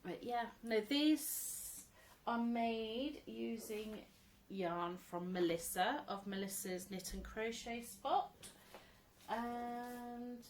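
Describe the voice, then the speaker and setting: Woman in her thirties, slow unhurried chatty crochet vlogger, slightly croaky from a cold, faint hiss behind. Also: on the high side, poor audio. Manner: chatty, rambling, laughs often. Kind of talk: casual show-and-tell chat. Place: at home.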